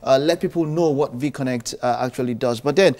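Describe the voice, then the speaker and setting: A man in his thirties hosting a studio interview on a TV morning show, asking questions and quick follow-ups. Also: mid-range voice, a little mellow. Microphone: lapel.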